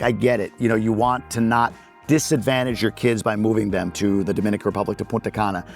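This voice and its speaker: A man in his forties from the United States, talking candidly and with jokes, casually to camera as a vlogger. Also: mid-range voice. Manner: candid, joking, conversational.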